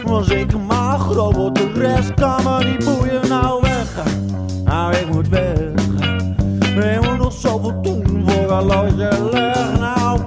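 A woman chants and half-sings in a fairly high voice over a loud guitar band. Her phrasing is slow and spaced out, yet the delivery sounds urgent. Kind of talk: rhythmic half-sung song vocals. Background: loud band music with guitar.

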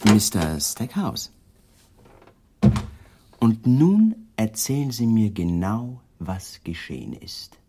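An actor playing a shrewd, persistent male police detective in a radio drama, asking calm, politely needling questions. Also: lowish voice, slow pace, long pauses, slight background noise, heavily acted. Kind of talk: 1980s German radio drama acting.

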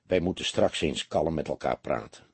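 Husky, calm, shrewd police detective read by a man. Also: extremely low pitch, dry recording, pitched below the performer's own voice, full character voice. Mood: quiet, sombre. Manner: quiet, measured, understated. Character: calm, weary, shrewd middle-aged male police detective. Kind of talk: audiobook character dialogue.

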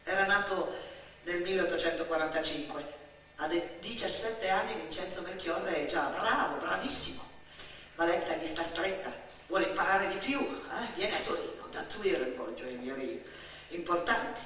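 A woman tells a story in theatrical style, heard from some distance in a very reverberant hall. She moves in measured short phrases, with faint noise behind her. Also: on the low side, a bit bright. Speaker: stage storyteller. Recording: echoey hall from far off, noisy.

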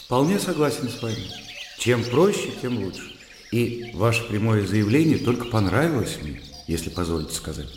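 An actor playing a composed, ironic young gentleman scholar in a radio play, dry and polite, with a creaky voice. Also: fairly low pitch, sing-song, moderate pace, echoey room, long reverb tail, background noise. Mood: polite, self-assured.

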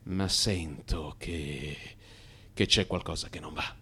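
A man's voice: extremely low pitch, fairly even intonation, slow, slightly rough, exaggerated voice. An actor playing an anxious married man in crisis, confessing to his analyst in an agitated, emphatic radio theatre monologue. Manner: agitated, emphatic, swinging from hushed mutter to outburst.

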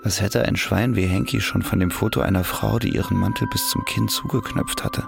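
An actor playing a weary convict in a radio drama, speaking his quiet, bitter thoughts. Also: fairly low pitch, steady tone, a little fast, gravelly, over music. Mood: brooding. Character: weary convict wrongly jailed, man in his prime. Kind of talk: radio drama inner monologue.

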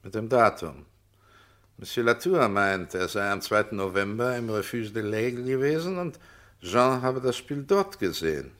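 A man's voice: on the low side, lively intonation, normal speed, a bit bright. A man playing a cool, persistent private detective in a German radio crime drama, putting terse, controlled questions with dry scepticism. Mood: probing, dry.

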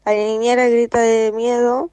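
Casting: young female story reader, monotone delivery, dry recording, horror tale. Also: a little high, measured pace, clear, resonant tone, no room sound. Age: teenager. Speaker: student narrator. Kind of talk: scripted horror story narration.

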